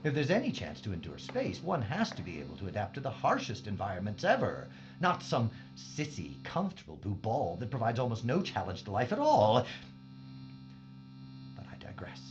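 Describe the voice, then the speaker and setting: A mad scientist villain from a sci-fi audio drama , acted by a man, with a swooping, animated delivery. He is pompous and coldly polite, full of mock regret. The sound is dull and lo-fi, with music clearly behind him. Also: on the low side, moderate pace. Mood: smug lecturing.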